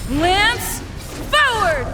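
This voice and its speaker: A woman's voice: very high-pitched, sing-song, very slow, bright, forceful tone, full character voice. A woman voicing a bold, brash young rocker fighter in a video game, calling out showy, punchy battle lines. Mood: cocky, fired up. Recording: music behind the voice.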